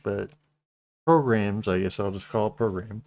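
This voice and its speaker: A middle-aged man with a Texas accent, hosting a casual livestream chat, speaking slowly with many halting restarts. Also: on the low side, a little mellow, no room sound. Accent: Texan. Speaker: channel host.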